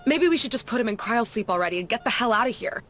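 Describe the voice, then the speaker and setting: Higher-pitched, worried woman medic. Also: lively intonation, fast, a bit bright. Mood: worried, doubtful. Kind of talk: cinematic video game acting. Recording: faint background noise.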